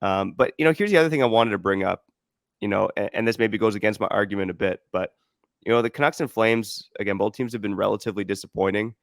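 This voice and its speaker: Male podcast host, calm stat-driven analysis, lowish gravelly voice. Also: no room sound, clean studio sound. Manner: measured, stat-heavy, hedging with "you know". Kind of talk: casual sports analysis.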